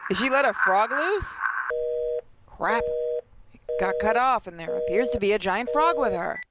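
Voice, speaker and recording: A woman hosting a gaming video, reading a visual novel aloud dramatically with playful, expressive asides. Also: fairly high pitch, unhurried.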